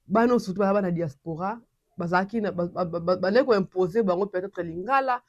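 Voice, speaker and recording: A woman from Congo with a Congolese French accent, hosting her channel and giving animated casual commentary with many restarts. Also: lowish voice, fairly quick, no room sound, muffled recording.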